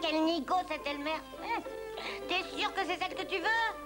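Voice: very high-pitched, moderate pace. An actor voicing a cocky, scheming little cartoon duck with a mocking, needling, theatrical delivery. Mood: gleefully mocking. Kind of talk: French-dubbed cartoon acting. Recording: old cartoon soundtrack with loud music.

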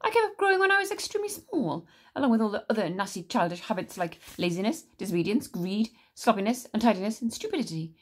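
A woman's voice: mid-range voice, sing-song, quick pace, hardly any pauses, pitched above the performer's own voice. A woman voicing a tiny, cruel, grumbling old grandmother who snaps orders and relishes disgusting food. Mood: scolding. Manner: snappish, bossy, relishing disgust.

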